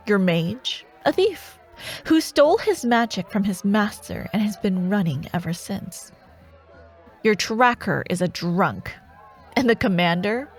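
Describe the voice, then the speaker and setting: Woman acting a villainess warlord from a fantasy asmr roleplay, slow n teasing, menacing, music behind her. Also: medium pitch. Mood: amused, scornful. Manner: teasing, taunting, slow and deliberate, slipping toward whispers. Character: menacing female dark warlord, taunting nightmare villain.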